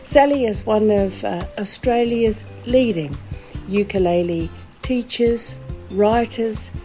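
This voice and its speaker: A woman's voice: medium pitch, takes it slowly, dark tone, soft background music. A woman hosting a podcast interview over a video call, effusive and lavishing praise on her guest. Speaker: podcast host.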